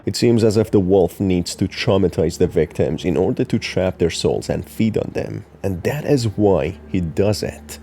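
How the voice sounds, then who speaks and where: Low, mellow male explainer. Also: slight background noise. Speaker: narrator explaining horror game lore. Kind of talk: scripted explainer narration.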